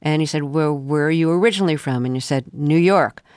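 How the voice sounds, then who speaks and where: Woman host asking questions, calm and probing, dry studio sound. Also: low voice, brisk, dry recording. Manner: calm, probing, with frequent um fillers. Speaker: radio interview host. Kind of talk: interview questions.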